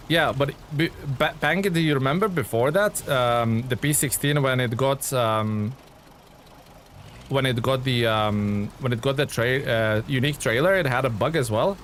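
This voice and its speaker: A man from Lithuania, a game streamer chatting casually with viewers in a relaxed, rambling way. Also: medium pitch, slight background noise. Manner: relaxed, chatty, many fillers and restarts. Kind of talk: casual live-stream chat reading viewer comments.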